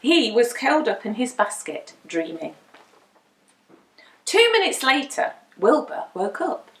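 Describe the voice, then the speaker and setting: Lady reading a kids story, super animated, kinda slow n dramatic, echoey room. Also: a little high. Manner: dramatic, deliberate emphasis. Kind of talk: animated children's picture-book reading. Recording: roomy indoor echo.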